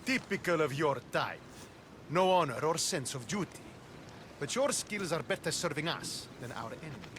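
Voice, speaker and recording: A man's voice: a little high, animated, moderate pace, background noise, lightly acted. A man playing a proud, disciplined Spartan officer in a video game, formal and commanding. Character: proud, disciplined young Spartan officer devoted to his adoptive father. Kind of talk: video game cutscene acting.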